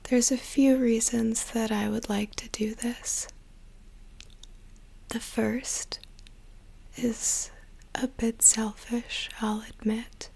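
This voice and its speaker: ASMR host, young woman, unvoiced whisper, very slow and breathy, slightly high. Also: level tone, mellow. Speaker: ASMR content creator. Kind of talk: whispered guided meditation.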